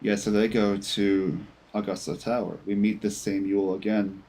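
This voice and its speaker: A man's voice: on the low side, level tone, normal speed, dark tone, slight background noise. A man giving casual gameplay commentary as a streamer, half talking to himself. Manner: talks to himself and the game. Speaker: game streamer.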